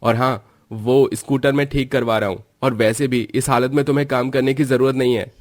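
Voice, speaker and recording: A man's voice: mid-range voice, steady tone, a little fast, dry recording, studio quality, natural voice. A man playing an irritable, worrying young village husband in a cartoon story, argumentative and exasperated. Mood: objecting. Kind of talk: Hindi cartoon story dialogue.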